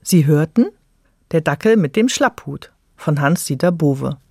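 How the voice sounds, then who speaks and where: An androgynous-sounding radio announcer speaks standard German at a medium pitch. The delivery is scripted, measured and clearly articulated. The sound is dry, with hardly any room around the voice. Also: animated, darkish tone, dry recording.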